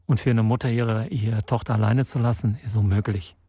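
A man born in Portugal and raised in the Netherlands, guesting in a radio studio and answering haltingly with many restarts and fillers. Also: on the low side, steady tone, warm tone, breathy edge, dry recording. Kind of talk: radio interview.

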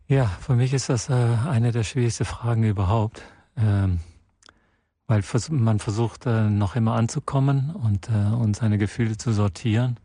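A man born in Portugal and raised in the Netherlands, guesting in a radio studio and answering haltingly with many restarts and fillers. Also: fairly low pitch, steady tone, mellow, no echo. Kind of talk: radio interview.

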